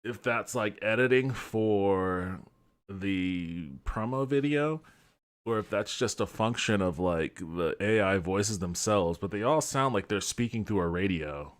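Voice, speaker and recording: Low-voiced sardonic man, casual commentary. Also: animated, a little slow. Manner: sardonic, skeptical, swears casually. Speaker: host of a gaming podcast and stream.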